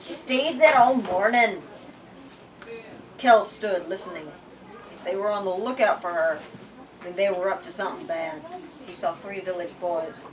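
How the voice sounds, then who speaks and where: A woman reading a story aloud to a group in an expressive, emphatic way. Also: on the low side, normal speed. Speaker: bookstore lit talk leader reading aloud. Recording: distant microphone, room with audience noise.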